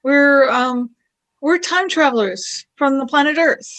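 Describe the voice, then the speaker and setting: Woman playing a high-voiced, feisty young girl. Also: measured pace. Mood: nervous, earnest. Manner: indignant, earnest, excitable. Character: feisty, nervous young human girl time traveler. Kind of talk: audio drama radio play acting.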